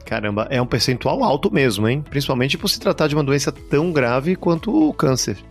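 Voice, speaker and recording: A man hosting a health podcast, relaxed and friendly as he interviews a guest. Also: medium pitch, fairly quick, gravelly, faint music behind. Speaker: podcast host. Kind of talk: casual health podcast chat.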